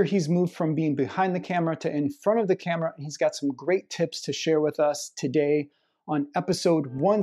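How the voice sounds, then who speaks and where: Male podcast host, slightly high register, relaxed mellow tone, conversational interviewer.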